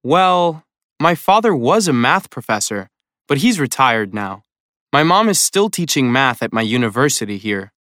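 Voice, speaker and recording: A man plays a young male college student for a listening exercise. His pitch moves around a lot as he speaks. The recording is dry and close. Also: big pitch swings, normal speed, fairly bright tone, dry recording. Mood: calm. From Brazil. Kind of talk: scripted textbook dialogue.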